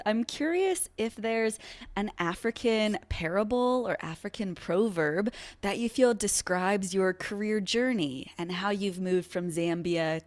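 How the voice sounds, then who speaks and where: A woman hosting an interview podcast, speaking eagerly and encouragingly and laughing often. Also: mid-range voice. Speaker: podcast host.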